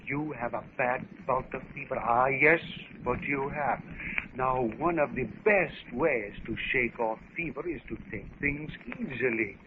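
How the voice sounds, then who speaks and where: Guy doing a courteous french lieutenant, steady and watchful, crackly narrowband old radio drama. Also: medium pitch, unhurried, natural voice. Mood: firm, soothing. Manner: polite, earnest, sprinkled with French phrases. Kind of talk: 1930s radio serial acting. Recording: muffled, noisy 1930s radio recording.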